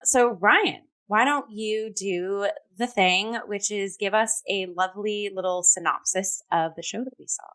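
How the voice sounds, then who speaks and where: A woman's voice: mid-range voice, a little slow, hardly any pauses, pushed and bright, smooth. Upbeat, chatty woman hosting. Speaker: host of a performing arts review show. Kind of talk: conversational review show hosting.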